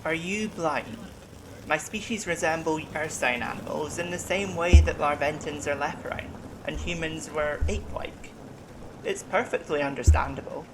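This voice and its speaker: An actor playing a gentle, formal bear-like alien medic in a science-fiction audio drama. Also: medium pitch, background noise, lightly acted. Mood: mildly indignant. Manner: polite, measured, formal.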